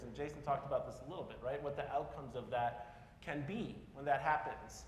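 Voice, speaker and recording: A man in his forties from Peoria, Illinois, giving a casual, self-deprecating conference talk and asking the audience questions. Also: a little high. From Peoria, Illinois (US Midwest). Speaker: speaker at a public talk. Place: large hall in front of an audience. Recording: echoey hall with faint noise.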